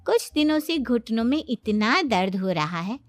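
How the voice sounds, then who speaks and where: Woman playing high-pitched chatty elderly lady. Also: big pitch swings, moderate pace, dry recording. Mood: complaining. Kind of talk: cartoon family-drama acting.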